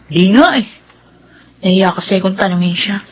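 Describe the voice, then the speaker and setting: An actress playing a young, watchful, suspicious elder sister in a Filipino radio drama, with a Filipino accent. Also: female voice, medium pitch, moderate pace, husky, muffled recording, natural voice.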